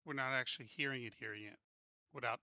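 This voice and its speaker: A man's voice: mid-range voice, fast talker, pushed and bright, dry recording, muffled recording. A man chatting as a tabletop game player, asking and repeating himself to confirm details. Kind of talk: casual game chat.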